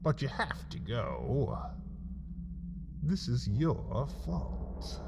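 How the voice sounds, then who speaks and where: A man narrating a scripted comic story in the second person, theatrical and melodramatic, with dramatic pauses. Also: mid-range voice, huge pitch swings, vocal fry, noisy background. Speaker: writer and narrator of a comedy story podcast.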